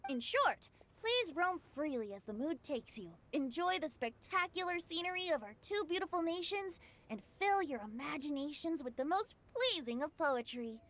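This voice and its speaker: A boyish young bard from an anime game, very high in pitch with lively, swooping intonation. The character is breezy and carefree, teasing lightly and speaking in lyrical turns of phrase. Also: female voice, moderate pace, few pauses. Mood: cheerful, lyrical.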